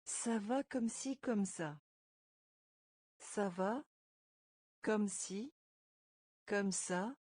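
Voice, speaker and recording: Woman, French teacher, very slow word-by-word drill, mellow voice, dry close sound. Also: mid-range voice, dry recording. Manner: breaks phrases into single words, then repeats them whole. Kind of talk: slow phrase-by-phrase pronunciation drill.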